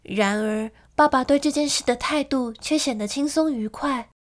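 An actress playing a lonely young gentlewoman who tells of a frightening childhood in an emotive radio drama style. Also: high-pitched, moderate pace, close to an everyday voice. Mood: melancholy. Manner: storytelling, emotive.

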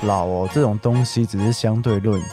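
An actor playing a caring, health-conscious man around forty in a radio drama, gentle and chatty, with Taiwanese Mandarin speech. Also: fairly low pitch, steady tone, moderate pace, music in the background, natural voice. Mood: easygoing, encouraging.

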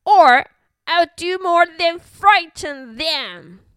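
Storybook bully bird played by a woman: high, screechy, scoffing, swooping wildly in pitch. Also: animated, very slow, fairly bright tone, no echo, clean studio sound, heavily acted. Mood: menacing. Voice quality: screechy, shrieking. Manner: scoffing, blustering.

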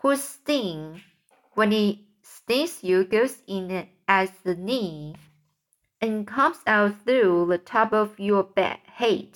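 A woman doing a boastful, excitable centipede. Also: mid-range voice, slow. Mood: excited. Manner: sing-song rhyming recital.

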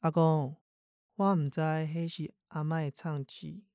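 A man's voice: a little high, steady tone, unhurried, a little mellow, clean tone. A man playing a young university student, a sulky but caring grandson who mutters expressively to himself in an everyday radio drama. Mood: apologetic, gentle. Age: young adult. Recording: music under the voice. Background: new-age music.

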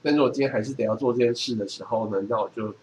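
With noticeable noise around him, a man with a Taiwanese Mandarin accent talks in a medium-pitched voice. He explains things casually and matter-of-factly, like a co-host on a chatty podcast. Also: rapid-fire, a little mellow. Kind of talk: casual chat. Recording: clearly noisy background.

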